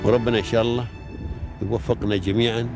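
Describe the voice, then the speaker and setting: A man addresses the nation in an earnest, solemn tone, his words racing at a very fast clip. His speech comes from an archive recording. Loud music swells over the whole recording. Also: on the low side, flat delivery.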